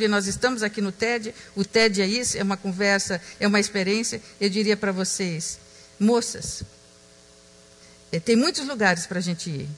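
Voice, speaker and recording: A woman giving a reflective talk on stage, slow and emphatic, dropping to a hush on key words. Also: medium pitch, big-room sound, poor audio. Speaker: speaker.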